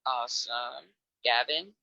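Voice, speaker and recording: A woman's voice: very deep voice, sharp tone, no room sound, lo-fi sound. A woman hosting a dating show call-in, chatty and upbeat, cheering each guest on. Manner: upbeat, answers each guest with a quick "awesome". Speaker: host. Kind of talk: casual chat, running a call-in dating show.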